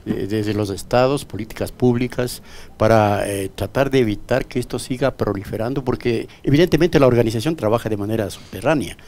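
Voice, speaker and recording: Un hombre, presentador de radio, que comenta y pregunta con algunas pausas de relleno. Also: male voice, fairly low pitch, a little mellow. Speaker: radio host. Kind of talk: radio interview.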